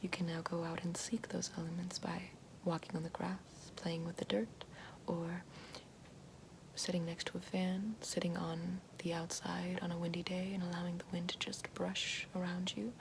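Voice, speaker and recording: A woman playing a calm, gentle witch in an ASMR roleplay, whispering slowly and soothingly right at the mic and repeating soft praise. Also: lowish voice, gravelly. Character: calm gentle female witch guiding elements. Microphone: close binaural-style mic. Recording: noisy hiss behind voice.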